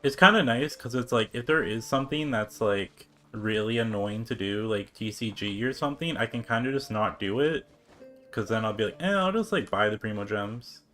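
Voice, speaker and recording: A man's voice: on the low side, lively intonation, moderate pace, bright, forceful tone. A man streaming a game and chatting casually, thinking aloud in a laid-back manner. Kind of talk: casual livestream chat. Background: game music.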